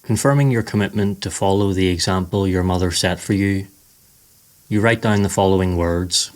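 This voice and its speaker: A man narrating a scripted guided meditation in a slow, soothing, measured way. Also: sits low.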